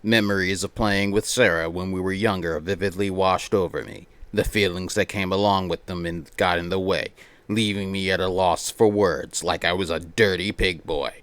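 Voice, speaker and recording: A man livestreaming as he reads a visual novel aloud, mixing theatrical narration with playful, excitable banter in a close, dry-sounding setup. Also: low-pitched, moderate pace, clear, resonant tone, no echo.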